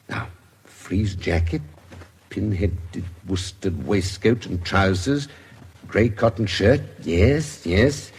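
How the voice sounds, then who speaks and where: Older British gentleman sleuth, low and very husky, coolly curious, exacting, for radio drama. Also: full character voice. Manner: measured, precise, deliberating aloud. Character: keen, methodical older gentleman detective doctor, coolly curious. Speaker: forensic investigator.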